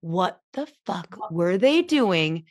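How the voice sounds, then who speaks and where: A woman's voice: on the low side, very animated, slow, no room sound, studio quality. Chatty thirties woman podcast host. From Southern California. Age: 30s. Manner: chatty, laughs often, filler-heavy. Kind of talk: casual conversational interview.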